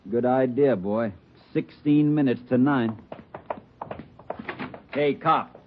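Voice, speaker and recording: An actor playing a stubborn, threatening, slangy bomber in a 1940s radio crime drama. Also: male voice, medium pitch, slow pace, close to an everyday voice. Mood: wary, smug. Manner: slangy, tough talk. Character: stubborn, threatening male bomber holding out for his jailed brother. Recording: old radio recording, phone-like, noisy, clicks.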